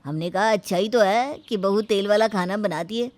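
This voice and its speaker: A woman's voice: mid-range voice, normal speed, darkish tone, pitched below the performer's own voice, close to an everyday voice. A woman voicing a meddling male shopkeeper in a story, chatty and easily flustered.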